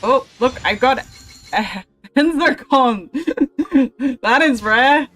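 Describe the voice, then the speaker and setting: A woman's voice: sits high, animated, takes it slowly, a bit bright. A woman livestreaming a video game, chatting casually to herself and her viewers. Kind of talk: casual gaming livestream commentary. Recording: game music behind the voice. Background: video game music.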